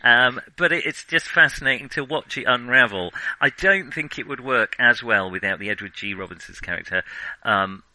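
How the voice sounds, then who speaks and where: A man's voice: medium pitch, normal speed, sharp tone, no room sound, poor audio. A man co-hosting a film podcast in casual discussion, thinking aloud in hesitant, broken phrases.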